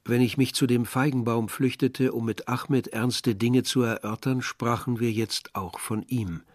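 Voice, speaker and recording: An actor playing a reflective first-person narrator of a village youth, measured and pensive in a literary radio play. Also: male voice, medium pitch, steady tone, dry recording, clean studio sound, natural voice.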